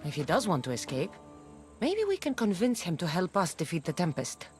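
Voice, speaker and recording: A woman's voice: fairly low pitch, wildly swooping pitch, a little fast, darkish tone, breathy edge, faint music behind, exaggerated voice. An actress playing a bold, wry mercenary woman of ancient Greece in a video game, confident and direct. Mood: businesslike. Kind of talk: video game cutscene acting.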